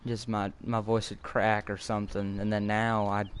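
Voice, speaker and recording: Teenage boy with a low voice. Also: completely flat delivery, moderate pace, noisy background. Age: teens. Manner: rambling, repeats words when starting sentences. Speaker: gaming video host. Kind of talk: casual gameplay chat with viewers.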